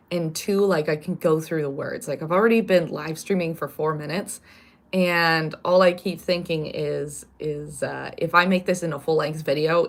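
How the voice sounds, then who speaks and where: Raspy, congested woman streaming. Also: sits low, a bit bright. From Canada. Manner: rambling and self-correcting. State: congested from a cold. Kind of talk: casual live stream chat.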